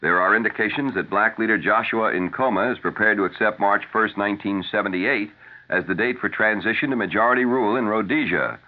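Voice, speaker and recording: An American man anchoring a 1970s radio newscast, reading the script in a formal, measured announcer delivery. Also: lowish voice. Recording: narrow, tinny vintage AM radio broadcast.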